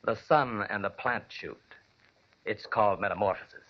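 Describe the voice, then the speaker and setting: Male actor as guarded young artist husband, courteous, hesitant, lowish voice, muffled vintage radio. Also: moderate pace, lightly acted. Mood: earnest. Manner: polite, hesitant. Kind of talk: 1940s radio acting. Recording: muffled, faintly noisy old radio recording.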